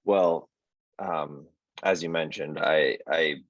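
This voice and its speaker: Very deep-voiced American man, muffled dry audio. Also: fairly even intonation, no echo. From United States. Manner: earnest, halting with fillers. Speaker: panel moderator. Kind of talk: casual panel discussion.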